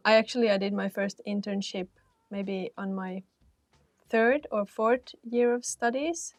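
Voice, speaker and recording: A woman's voice: mid-range voice, dry recording. A woman, a career counselor talking casually with many fillers and restarts. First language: Finnish and Swedish. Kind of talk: casual panel talk.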